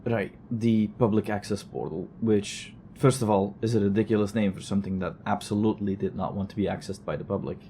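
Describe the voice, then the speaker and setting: Low, mellow, wry male investigator. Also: fairly even intonation, fairly quick, gravelly, hardly any pauses, slight background noise. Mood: musing, dry. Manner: dry, sardonic, rambling. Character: weary, wry male investigator recording a road-trip log. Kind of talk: audio drama, conversational recorded log.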